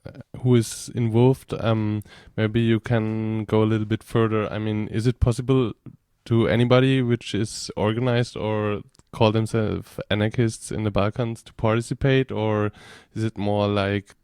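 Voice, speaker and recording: A man interviewing a guest on radio, asking long, loosely built questions. His voice is low, smooth and even, with little pitch movement. The sound is close and dry. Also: normal speed, few pauses, dry recording.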